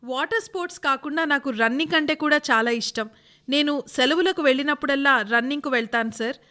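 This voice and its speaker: A woman's voice: high voice, fast, sharp tone. A woman voicing a respectful, eager young female athlete in a scripted radio interview, with an earnest, deferential way of answering.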